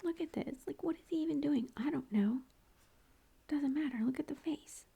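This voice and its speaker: A mother whispering softly as she shows family photos, gushing and chatty, close to the mic at home. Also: high voice, moderate pace, creaky. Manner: soft unvoiced whisper throughout, gushing and chatty. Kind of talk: whispered ASMR photo show-and-tell.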